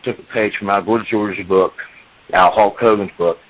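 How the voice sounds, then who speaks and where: A man's voice: on the low side, steady tone, moderate pace, dull audio. A man in his forties, a professional wrestler, talking at length in an interview, repeating himself as he tells his story. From United States. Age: 40s.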